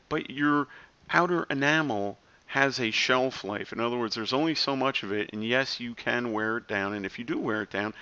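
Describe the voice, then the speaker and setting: A man's voice: medium pitch, bright, forceful tone, dull audio. A man in his forties giving casual, rambling advice to camera with chatty self-deprecating asides. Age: 40s. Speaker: health vlogger.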